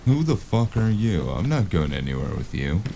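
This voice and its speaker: A man playing a weary, sardonic investigator in a scripted cyberpunk noir audio drama, telling his story in a gravelly, cursing voice. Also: low voice, sing-song, moderate pace, warm tone, slight background noise, full character voice. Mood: strained, indignant. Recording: music bed behind the voice.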